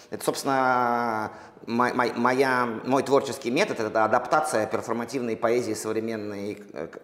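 A man from Russia, a poet, answering interview questions slowly and thoughtfully, with many pauses and restarts. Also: on the low side. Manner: halting, many fillers and restarts. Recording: echoey room with long reverb.

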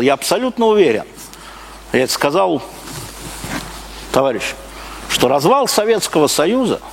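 A man from Russia giving a live public lecture, speaking emphatically with repeated phrases, rhetorical questions and broken-off sentences. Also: fairly high pitch. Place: live talk before an audience. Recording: clearly noisy live recording.

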